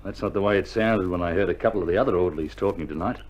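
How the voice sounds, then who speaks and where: An actor playing an anxious, restless soldier lying wounded in bed, uneasy and questioning, in a 1960s radio war drama. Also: male voice, low-pitched, racing, lo-fi sound, slight background noise, close to an everyday voice.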